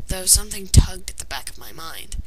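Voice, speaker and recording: Woman narrating over clearly noisy recording. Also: on the low side, animated, fairly quick. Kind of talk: fan fiction story narration. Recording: noisy amateur home recording.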